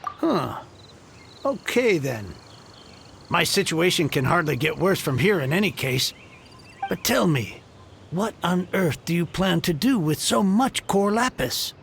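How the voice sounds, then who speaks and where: A man's voice: high voice, very animated, a little slow, vocal fry, a bit bright, slight background noise, exaggerated voice. A man playing an anxious, easily flustered merchant in a video game, with a stammering delivery. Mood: astonished. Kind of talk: video game character acting.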